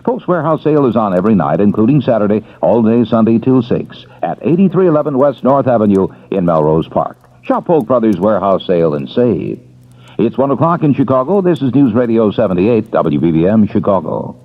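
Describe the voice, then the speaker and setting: A man reading a radio commercial in a brisk, salesy announcer manner. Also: lowish voice, very animated. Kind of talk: commercial read. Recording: tinny old AM radio broadcast.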